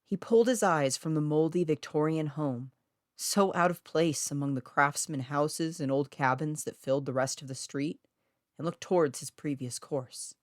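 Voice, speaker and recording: A woman's voice: low voice, normal speed, no echo, clean studio sound. A woman reading her own eerie short story aloud as scripted narration.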